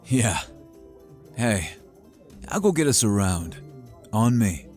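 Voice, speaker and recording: A man's voice: lowish voice, big pitch swings, takes it slowly, darkish tone, faint music behind. A man playing an expert, easygoing hunter who talks in a casual, matter-of-fact way in a fantasy audio roleplay. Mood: relaxed. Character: expert male hunter, easygoing, loyal, practical team partner. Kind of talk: scripted fantasy audio roleplay addressed to the listener.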